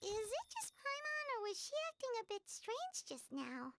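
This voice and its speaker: A woman's voice: extremely high pitch, huge pitch swings, normal speed, fairly bright tone, no room sound, soft background music, full character voice. A woman voicing a tiny chatty fairy girl companion in a video game, curious and nosy.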